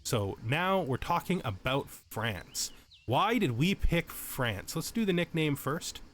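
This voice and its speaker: A man's voice: fairly high pitch, very animated, slow pace, a bit bright, slight background noise. A man giving casual tutorial commentary on a game as its host, explaining things and thinking aloud as he goes, often correcting himself. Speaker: let's play host.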